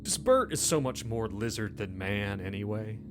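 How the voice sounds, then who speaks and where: A man with a fairly low voice narrates a tabletop game as game master. He builds the scene haltingly, circling back over his phrases for suspense, while music plays clearly underneath. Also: animated.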